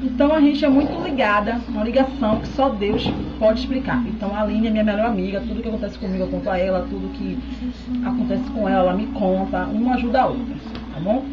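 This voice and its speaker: A woman's voice: mid-range voice, fast talker, muffled recording, very noisy. A woman in her thirties chatting casually to a webcam at home, keeping in touch with viewers as she answers questions. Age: 30s. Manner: chatty, keeps checking in with "tá?". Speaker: beauty vlogger. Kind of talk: casual chat to camera. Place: indoors at home, small room. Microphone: webcam close up.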